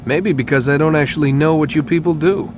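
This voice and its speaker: A man's voice: on the high side, fairly quick, natural voice. A man playing a sceptical, sardonic young captive in a video game. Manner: sarcastic, challenging, casual. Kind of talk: video game cutscene acting. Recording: noisy game scene with ambient hum.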